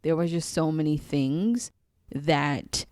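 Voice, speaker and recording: An American woman from Queens, New York, chatting casually as a podcast guest and telling stories in an animated way. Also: deep voice, slow pace, no echo, clean studio sound. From Queens, New York City, USA. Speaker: podcast guest, spiritual guide. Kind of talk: casual chat.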